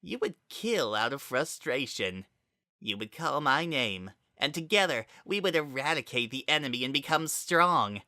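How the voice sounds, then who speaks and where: Possessing child spirit played by an adult narrator for a dramatic story reading: pitched well up, hollow, fervent menace under coaxing calm. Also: no room sound, clean studio sound. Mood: bitter, intense. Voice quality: maddened, hollow tone. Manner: slow, theatrical, coaxing monologue with deliberate pauses. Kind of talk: dramatic reading of fan fiction.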